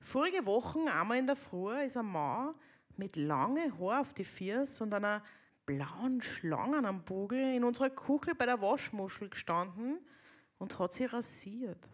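A woman's voice: mid-range voice, expressive, unhurried. A woman reading a dialect poem in the voice of a stuttering young child, with a Viennese accent. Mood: wry.

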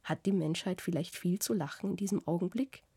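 A woman's voice: lowish voice, a little fast, warm tone, slightly rough, no echo. A woman reading an adult man's words as he scolds his lover with incredulous questions in an audiobook. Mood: mocking. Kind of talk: audiobook reading of a literary novel.

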